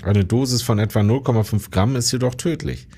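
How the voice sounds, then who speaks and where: An actor playing an obliging, matter-of-fact police detective in a radio crime drama, precise and informal. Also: male voice, medium pitch, brisk, warm tone, breathy edge, natural voice.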